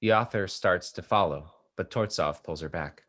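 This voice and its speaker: A man's voice: low-pitched, moderate pace, fairly bright tone. A man narrating the stage directions of a play from a script.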